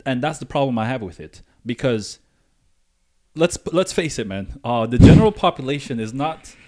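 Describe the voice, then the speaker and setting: A man from Guyana speaks at a middle pitch as a podcast co-host. He talks casually but deliberately, building each point with asides and rhetorical questions. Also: sing-song, a little mellow. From Guyana, moved north when young. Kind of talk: casual opinion discussion.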